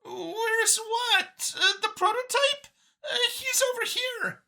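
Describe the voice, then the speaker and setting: A woman's voice: very high-pitched, slow, gravelly, pushed and bright, pitched above the performer's own voice, heavily acted. A woman voicing a nervous, earnest female alien mouse scientist in an audiobook, with a flustered, eager way of talking. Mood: flustered, defensive. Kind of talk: acted audiobook character.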